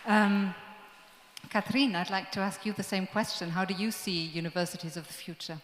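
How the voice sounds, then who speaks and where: A woman's voice: mid-range voice, fairly quick, slight background noise. Woman moderator in echoey hall. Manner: polite, steady questioning. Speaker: panel moderator. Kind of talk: moderating a panel.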